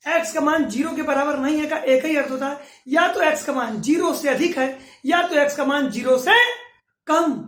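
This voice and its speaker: High-voiced man teaching math, echoey. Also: a bit bright. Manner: asks and answers his own questions, dictates slowly. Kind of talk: classroom math lecture. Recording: roomy echo from a distance.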